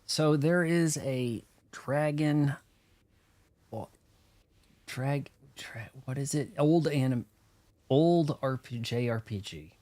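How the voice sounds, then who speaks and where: A man's voice: mid-range voice, slow pace, pauses often, a little mellow. A man giving casual, rambling let's play commentary on a video game, talking to himself as he goes. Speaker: streamer playing a video game. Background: game music.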